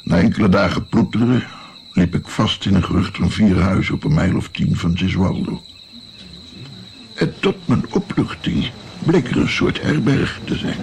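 A man's voice: low-pitched, lively intonation, moderate pace, creaky, darkish tone, a little hiss or hum. An actor playing an older musician and physician, recalling his naive youth in a reflective, hesitant, wry way in a radio play. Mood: wry, reminiscing.

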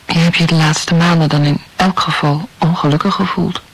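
A woman's voice: low-pitched, fairly even intonation, moderate pace, breathy edge, rough recording. An actress playing a hurt young woman in love, plaintive and accusing, in an intimate radio play. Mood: reproachful.

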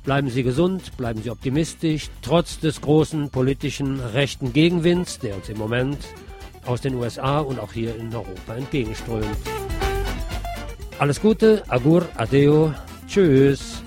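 A man's voice: medium pitch, lively intonation, normal speed, music in the background. A man presenting a radio programme, reading a scripted film review.

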